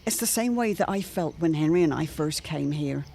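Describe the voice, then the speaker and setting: A woman's voice: low-pitched, expressive, normal speed, gravelly, mellow, slight background noise. A woman playing an anxious, eloquent neighbourhood matron in a period radio drama, speaking in formal, theatrical phrases. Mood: troubled, foreboding. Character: Jane Pembroke, anxious, eloquent older neighbourhood matron with premonitions.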